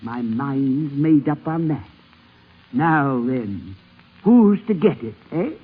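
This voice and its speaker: An actress playing a very old, shrewd, commanding grandmother in a radio drama, speaking deliberately with needling questions. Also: extremely low pitch, expressive, breathy edge, heavily acted. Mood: probing, shrewd. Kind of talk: 1950s radio drama acting. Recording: vintage dull radio recording.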